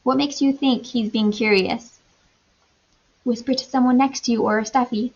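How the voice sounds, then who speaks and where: A woman teacher doing an animated read-aloud for young children, pausing to ask questions and explain in simple, repetitive wording. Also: on the high side, muffled recording.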